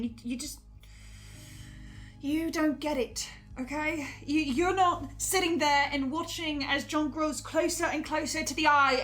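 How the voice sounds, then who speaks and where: Woman, high voice, stammering, defensive, in-character discussion, faint music behind. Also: fairly bright tone. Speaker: podcast panelist in character as a researcher. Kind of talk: semi-improvised in-character discussion of a horror audio drama.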